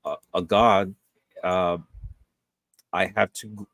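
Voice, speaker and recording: A man in his forties talks earnestly as a guest, pausing on fillers and restarting his sentences. His voice sits low, and the sound is close and dry. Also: fairly even intonation, fairly bright tone, no room sound. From Puerto Rico. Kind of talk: casual interview chat.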